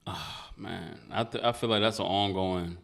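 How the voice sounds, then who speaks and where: Guest male musician from Nigeria, low and relaxed, easygoing reflective delivery. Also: normal speed, gravelly. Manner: laid-back, reflective, slangy. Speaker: guest artist. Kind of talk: casual interview chat.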